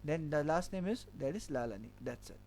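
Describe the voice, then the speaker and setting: A man's voice: a little high, lively intonation, a little fast, a little mellow, slight background noise. A man teaching a programming lesson, walking through code step by step and repeating each point with a filler "okay". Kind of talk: screen-recorded coding lesson.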